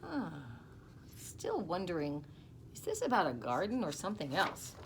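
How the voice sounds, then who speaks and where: Woman in her fifties, children's story reader, creaky voice, soft asides, small office, noticeable background noise. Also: fairly low pitch. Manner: expressive, unhurried, dropping to soft asides. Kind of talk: reading a picture book aloud to children, with explaining asides. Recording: clearly noisy small-room recording.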